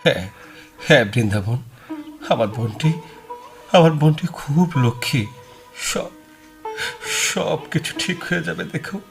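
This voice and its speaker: Kunja, a gravelly affectionate elder brother. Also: male voice, a little high, lively intonation, soft background music. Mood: reassuring. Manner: halting, repeats phrases. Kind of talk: radio drama acting.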